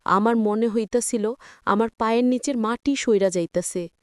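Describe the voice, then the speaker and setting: A woman's voice: fairly high pitch, moderate pace, no echo, studio quality, natural voice. A woman voicing an anguished, betrayed young married woman in a story, with a Bangladeshi Bengali accent and a wounded, pleading manner. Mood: anguished disbelief.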